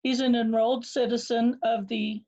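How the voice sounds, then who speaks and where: A woman's voice: a little high, level tone, moderate pace, vocal fry, muffled recording. A woman introducing a guest speaker, reading his credits and apologizing for her pronunciation. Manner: warm, apologetic about pronunciation. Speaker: program director introducing the speaker.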